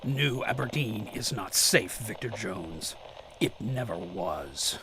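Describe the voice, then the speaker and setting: A man playing a grim, mysterious vigilante in a radio drama, speaking formally and ominously in a gravelly half-whisper. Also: lowish voice, expressive, bright, forceful tone, a little hiss or hum, exaggerated voice, rough recording. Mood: cryptic, resolute. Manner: slow, ominous, formal speech. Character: grim mysterious male vigilante, cryptic and resolute.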